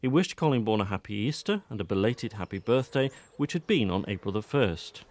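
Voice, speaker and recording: A man's voice: on the low side, animated, a little fast, darkish tone. A man reading scripted narration for an audiobook drama as its storyteller.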